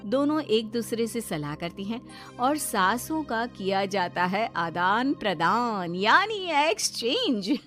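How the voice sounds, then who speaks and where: Female narrator for scripted moral stories; high-pitched, over light music. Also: sing-song, takes it slowly, a bit bright.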